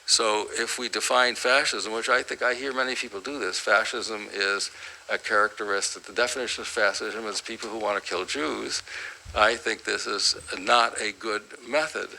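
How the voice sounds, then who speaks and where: Low, sharp-voiced man introducing a speaker. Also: fairly even intonation, moderate pace, creaky, slight background noise, poor audio. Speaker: host introducing a guest lecturer. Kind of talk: public academic introduction.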